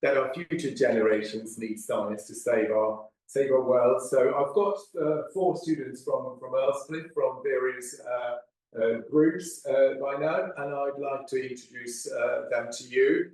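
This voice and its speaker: A man's voice: mid-range voice, measured pace, a little mellow. A middle-aged man, head of science, explaining a class experiment with many hesitations. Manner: hesitant, many ums and uhs. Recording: very echoey room.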